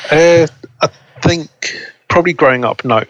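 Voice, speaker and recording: A young man as an interview guest, chatting casually and relaxed, laughing easily. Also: a little high, normal speed, dull audio. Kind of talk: casual conversation.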